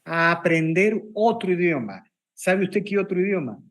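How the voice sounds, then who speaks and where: A man's voice: sits high, lively intonation, normal speed. A man with a Peruvian Spanish accent hosting an opinion interview, speaking emphatically with rhetorical questions and repetition. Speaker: interview program host.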